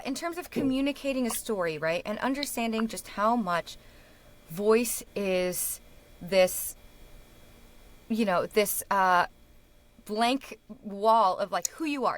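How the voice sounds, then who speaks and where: A woman's voice: on the high side, animated, unhurried. A woman speaking as a podcast guest and speech-language pathologist, chatting enthusiastically, laughing often and restarting her sentences. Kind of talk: casual interview chat.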